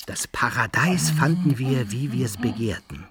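An actor playing a boastful adventurer who tells his own exploits with relish, in a husky voice, as radio drama narration. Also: mid-range voice, unhurried, a crowd in the background. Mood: savouring. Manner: savouring, expressive.

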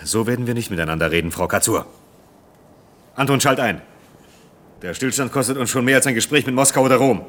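An actor in a German radio drama playing a stiff, formal factory efficiency expert with a condescending manner. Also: male voice, medium pitch, expressive, a little fast, pauses often, fairly bright tone, natural voice. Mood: impatient, condescending. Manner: formal, condescending, matter-of-fact.

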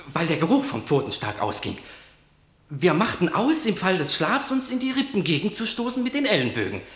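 An actor playing a blunt, excitable guard who gives emphatic, agitated reports in a verse tragedy radio play. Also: male voice, sits high, roomy sound. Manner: emphatic, breathless storytelling, swinging from cries to muttering.

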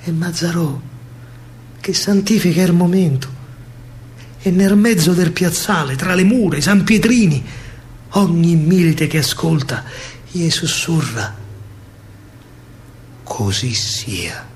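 A man with a Roman accent telling a story in verse as a solo stage actor, theatrical and emphatic, swinging from hushed to loud. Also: a little high, unhurried, pauses often, a little hiss or hum.

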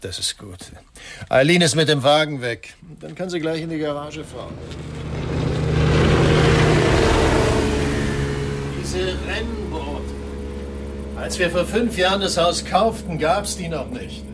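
Creaky-voiced, bitter drinking writer. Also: male voice, on the high side, lively intonation, background noise. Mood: polite, weary. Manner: brooding, sardonic, halting. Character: bitter, hard-drinking male writer, married and troubled. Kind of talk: German radio crime drama acting.

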